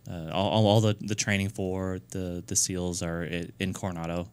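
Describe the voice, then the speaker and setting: A man's voice: low voice, level tone, normal speed, clear, resonant tone. A man in his thirties from New Hampshire, a guest in a casual radio interview, talking earnestly with many fillers and restarts. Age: 30s. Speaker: guest, nonprofit founder.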